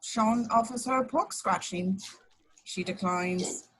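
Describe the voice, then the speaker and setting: A woman's voice: medium pitch, slow, fairly bright tone, breathy edge, low-quality recording. A woman reading scripted action descriptions as narrator at an informal table read, now and then stumbling and correcting herself. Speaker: narrator of stage directions.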